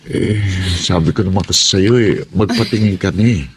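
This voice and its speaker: A concerned, supportive husband in a Filipino radio drama, acted by a man. His voice sits a little low and he speaks with respect. There is faint background noise around him. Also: moderate pace, lightly acted. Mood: worried.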